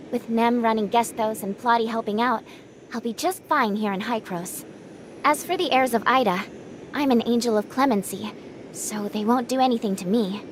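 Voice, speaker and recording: A woman voicing a gentle, self-assured young angel in an anime game, calm and reassuring. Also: on the high side, a little hiss or hum, lightly acted, low-quality recording.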